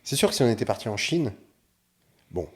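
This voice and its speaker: A man talking as a podcast guest, animated and explaining his views with examples. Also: lowish voice, brisk. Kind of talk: casual podcast chat.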